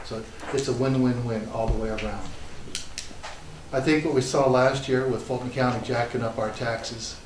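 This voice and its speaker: A man candidate speaks softly but firmly, listing positions at a forum. His voice is off-mic in an echoing room. Clear background noise sits under it. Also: medium pitch. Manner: assertive, soft-spoken, listing points. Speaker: political candidate. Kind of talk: candidate forum opening statement. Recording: roomy hall, distant mic, noisy.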